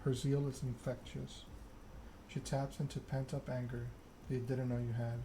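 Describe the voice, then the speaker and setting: A man narrating a story game aloud in ASMR style, reading in a measured way with occasional stumbles. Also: medium pitch, flat delivery, noisy background.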